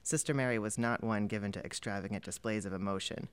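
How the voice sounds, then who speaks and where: Smooth, lower-voiced man reading calmly. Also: fast. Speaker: reader. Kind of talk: first-person memoir reading.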